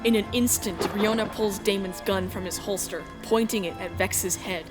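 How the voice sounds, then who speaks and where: A woman's voice: medium pitch, steady tone, moderate pace, close to an everyday voice. Earnest female princess lead, woman acting, mid-range first-person narration for a visual novel dub, music bed. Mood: narrating, measured. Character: earnest exiled princess heroine narrating her adventure. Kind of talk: scripted first-person narration with acted dialogue.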